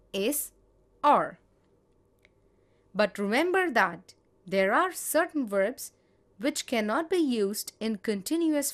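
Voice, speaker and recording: A woman teaching grammar, reading example sentences in a measured, deliberate way. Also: on the high side. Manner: measured, spells out each example. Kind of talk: slow, deliberate grammar lesson.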